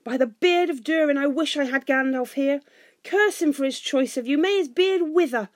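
A woman's voice: medium pitch, a little fast, no room sound, clean studio sound, natural voice. A woman voicing a proud, stubborn dwarf king from a storybook, imperious and scornful. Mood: furious. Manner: imperious, scornful, shouting in rage.

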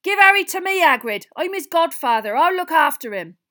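A woman voicing a gruff, loyal giant man in a storybook, with a growling, rough and folksy manner. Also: very high voice, sing-song, fairly quick, pushed and bright, voice pushed up, heavily acted. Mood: indignant.

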